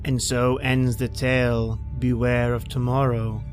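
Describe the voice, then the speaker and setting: A man's voice: mid-range voice, little pitch movement, a little slow. Male horror podcast narrator over music. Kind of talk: scripted narration of old-time radio horror.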